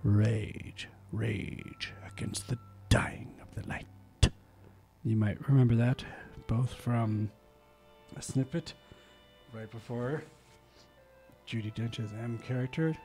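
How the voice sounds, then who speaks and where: A man at a community radio studio microphone reads verse in a warm, dark, mid-pitched voice. His delivery is theatrical, rising to loud declamation and sinking to near whispers. Soft instrumental music plays quietly underneath. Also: slow pace. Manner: theatrical, swells loud then drops to a whisper. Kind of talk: dramatic poetry reading on radio. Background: faint instrumental music.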